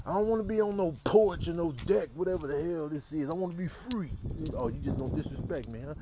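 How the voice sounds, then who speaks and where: A man's voice: a little high, quick pace, crowd noise, voice pushed down, lightly acted. An American man voicing a sulky, grumbling captive creature longing to be free, in a slangy comic dub. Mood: aggrieved.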